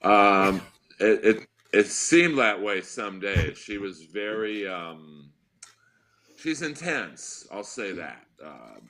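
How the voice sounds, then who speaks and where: A man with a deep voice chats loosely as a podcast guest. His tone is low and bright, and he tells long, wry stories with a dry edge. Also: expressive, takes it slowly. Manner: wry, rambling storyteller. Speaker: guest comedian. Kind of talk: casual podcast chat.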